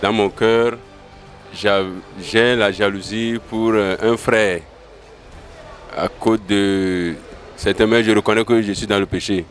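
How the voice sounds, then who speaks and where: A man's voice: lowish voice, fairly even intonation, slow, soft background music. A young man giving a personal testimony in an interview, into a handheld microphone. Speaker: convention attendee. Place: large open hall. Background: faint ambient noise.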